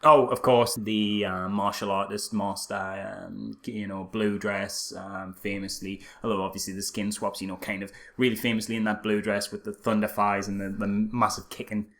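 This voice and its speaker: A man's voice: fairly low pitch, level tone, normal speed, hardly any pauses, fairly bright tone. Sarcastic man chatting about films. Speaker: podcast co-host. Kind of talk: casual film critique chat.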